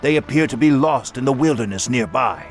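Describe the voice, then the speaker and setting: A man plays a dutiful army scout in a fantasy game. His voice is average in pitch and formal, in a military reporting style, with light music behind. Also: animated, brisk, natural voice. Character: dutiful human soldier reporting to his lord.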